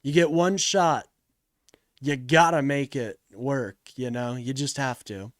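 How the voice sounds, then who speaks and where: A man's voice: medium pitch, slow pace, dry recording, clean studio sound. A man hosting a live political call-in stream in a casual, joking way, with slang and swearing as he talks to his chat.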